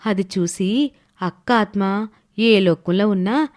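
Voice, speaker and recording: A woman's voice: mid-range voice, slow, close to an everyday voice. A woman playing a stubborn, thrifty young village wife who explains herself earnestly in a moral story cartoon. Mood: earnest, confiding. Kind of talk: animated moral story voice acting.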